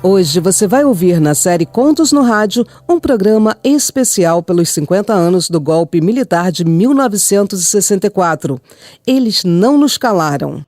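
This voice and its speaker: A woman's voice: mid-range voice, expressive, moderate pace, darkish tone. A Brazilian woman reading a scripted radio programme introduction. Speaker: programme announcer. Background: music.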